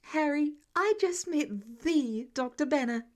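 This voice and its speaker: An eager, brainy teenage boy read by a woman, pitched fairly high. He talks with earnest, excitable energy, like a young science prodigy in an audiobook. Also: slow. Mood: starstruck.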